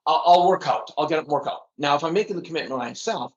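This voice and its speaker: A man's voice: on the high side, quick pace, muffled recording. A middle-aged man speaking earnestly as a podcast guest, often repeating words and laughing at himself. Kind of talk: casual interview chat.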